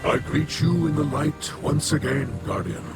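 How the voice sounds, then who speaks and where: A man's voice: on the high side, expressive. An actor playing a weary, solemn four-armed alien king in a game, stately and formal in his speech. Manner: stately, measured. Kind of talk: formal, grave game dialogue. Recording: loud music under the voice. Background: music.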